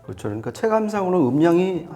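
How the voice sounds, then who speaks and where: A man with a fairly high, mellow voice talks through audio equipment in a conversational explainer. His intonation moves around a lot, and faint music plays behind him. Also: very animated, racing. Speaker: audio reviewer explaining gear.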